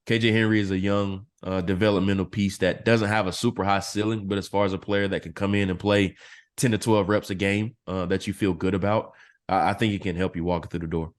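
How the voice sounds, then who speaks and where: A man analyzing football draft picks as a podcast guest, his voice a little hoarse and clearing his throat now and then. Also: deep voice, brisk. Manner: steady analytical explaining. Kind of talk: casual podcast interview.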